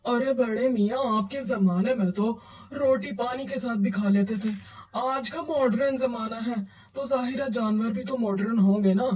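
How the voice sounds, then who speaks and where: A man's voice: very high voice, normal speed, hardly any pauses, a little mellow. A man voicing a cheeky youngster with a mocking manner in a cartoon. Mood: teasing, mocking. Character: cheeky modern youngster teasing an old man. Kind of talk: cartoon story dialogue. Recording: slightly distant from the mic.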